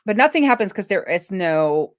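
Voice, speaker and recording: A woman's voice: mid-range voice, very animated, moderate pace, no room sound, clean studio sound. A woman live streaming a casual coding walkthrough, talking informally and thinking aloud to herself as she works.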